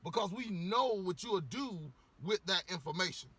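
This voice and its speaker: A man ranting casually to camera, a little hoarse from losing his voice, rambling with lots of "you know" and swearing bluntly. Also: sits high, expressive, normal speed, sharp tone. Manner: rambling, repeats words, frequent "you know". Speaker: vlogger giving opinions.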